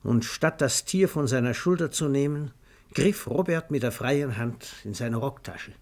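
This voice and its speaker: A man narrating a literary radio play in a measured storytelling manner. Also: medium pitch, lively intonation.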